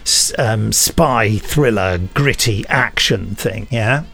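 A man's voice: on the low side, slow pace, creaky, sharp tone. A middle-aged man hosting a podcast, chatting casually and reading listener emails in a jokey, rambling, self-deprecating way. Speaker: podcast host.

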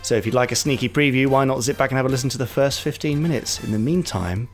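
A man's voice: mid-range voice, fast, soft background music. A man co-hosting a podcast, chatting casually and reading announcements in a self-deprecating way. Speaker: podcast co-host.

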